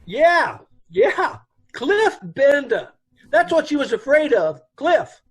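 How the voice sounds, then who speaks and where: A very high-voiced dance hall boss, acted by a man in a radio police drama. He is brusque and chatty, with blunt, ungrammatical, folksy talk. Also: very animated, slow pace, exaggerated voice. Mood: confiding.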